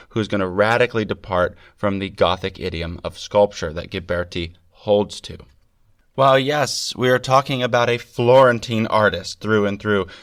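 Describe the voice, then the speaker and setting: A man with a fairly low voice hosts a lecture-style podcast, a little gravelly and stuffed up from a cold. He lectures with eager emphasis. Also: a bit bright. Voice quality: slightly gravelly. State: congested with a cold. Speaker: podcast host. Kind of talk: scripted lecture on art history.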